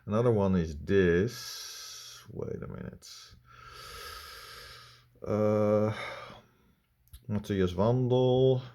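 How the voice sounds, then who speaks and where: A man with a Dutch accent giving unscripted critical commentary in a halting, blunt, complaining way. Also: lowish voice, warm tone. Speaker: video reviewer.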